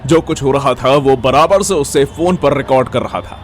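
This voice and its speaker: A man's voice: medium pitch, moderate pace, a little hiss or hum. A man narrating a scripted audio story.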